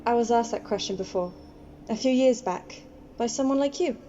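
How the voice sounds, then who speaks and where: A woman acting as a guarded young homeless woman in an amateur radio play. Her voice is soft-edged and mellow, her lines terse and wistful, on a dull recording with faint noise. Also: a little high, moderate pace, natural voice. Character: guarded, quiet homeless woman of twenty-six. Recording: dull, slightly noisy home recording.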